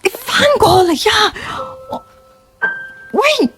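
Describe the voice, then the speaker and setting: A woman's voice: very high voice, sing-song, slow pace, a bit bright, soft background music, full character voice. An actress playing a guarded, polite young woman in naturalistic radio drama dialogue. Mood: flustered.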